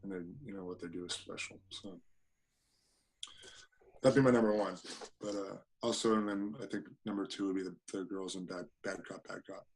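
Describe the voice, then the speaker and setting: Deep-voiced easygoing young man. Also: fairly even intonation, normal speed, rough recording. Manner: easygoing, hesitant, repeats words. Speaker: podcast guest, punk band singer. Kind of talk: casual chat.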